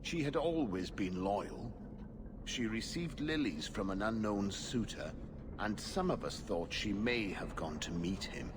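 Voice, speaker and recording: An actor playing a weary, middle-aged templar knight in a video game, formal, earnest and discouraged. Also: male voice, fairly low pitch, expressive, a little slow. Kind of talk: video game dialogue acting. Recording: clear noise behind the voice.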